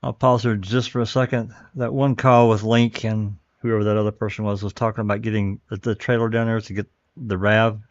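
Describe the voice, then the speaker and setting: A man's voice: lowish voice, little pitch movement, brisk, few pauses, no echo, highs cut off. A man hosting a show, casually commenting on recordings and identifying who is talking. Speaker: host commenting on archived police radio recordings.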